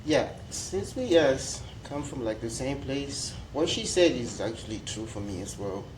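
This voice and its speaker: Young man, medium pitch, hesitant, thoughtful, echoey room, faint noise. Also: animated, normal speed, a little mellow. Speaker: panelist, international student. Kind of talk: casual panel discussion.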